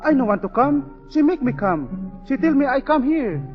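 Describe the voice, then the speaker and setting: Frightened servant, halting broken English. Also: male voice, very high voice, very animated, in a large hall, lo-fi sound. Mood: fearful, urgent. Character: frightened, submissive servant bearing a deadly warning. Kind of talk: 1940s radio drama acting. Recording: band-limited 1940s radio recording with music.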